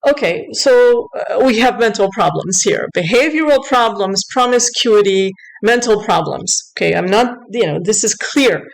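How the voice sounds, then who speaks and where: A woman's voice: medium pitch, animated, normal speed, gravelly, hardly any pauses, poor audio. A woman giving unscripted news commentary in an upset, insistent, corrective way, sometimes switching between two languages as she reads aloud. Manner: switches between English and French while reading aloud. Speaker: online commentator.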